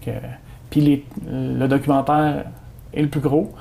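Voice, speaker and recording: Gravelly-voiced Québécois man, hesitant. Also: mid-range voice, a little slow, slight background noise. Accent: Québécois French. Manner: many hesitations, animated when announcing the event. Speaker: guest from a community organization. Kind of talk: casual interview chat.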